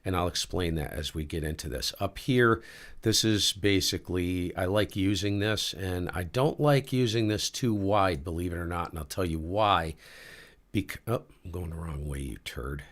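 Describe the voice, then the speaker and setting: A man with a very low voice running a casual live ham radio demo, talking to himself as he adjusts settings. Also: normal speed. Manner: relaxed, talks to himself while adjusting gear. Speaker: ham radio livestream host.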